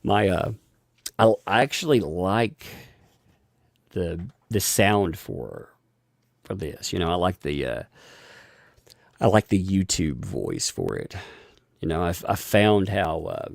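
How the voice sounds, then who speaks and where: Guy streaming live, gravelly voice, kinda low, keeps restarting sentences and chuckling. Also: big pitch swings, slow, long pauses, no echo, clean studio sound. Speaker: live-stream host reading viewer donations. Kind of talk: casual live-stream chat.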